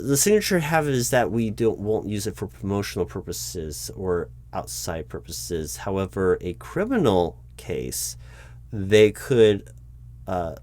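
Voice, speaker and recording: A man with a fairly low voice conducts a mock job interview in a calm, bureaucratic way. He stays patient but a little exasperated, hesitating with ums and starting sentences over. Keyboard typing is heard behind him. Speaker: recruiting manager in a roleplay interview. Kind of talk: ASMR-style job interview roleplay.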